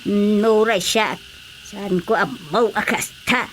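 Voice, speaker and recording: A woman playing a worried older mother in a radio drama, with an Ilocano accent and drawn-out exclamations. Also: medium pitch, a bit bright. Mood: worried, dismayed. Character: worried older mother defending her child. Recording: faint background noise, cricket ambience.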